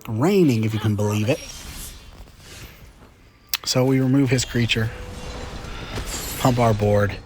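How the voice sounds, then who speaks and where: A man's voice: mid-range voice, fairly even intonation, slow pace, pauses often, a little mellow, a little hiss or hum. A chatty, upbeat man hosting casual gameplay commentary with frequent fillers, a little congested from a cold. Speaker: gaming channel host.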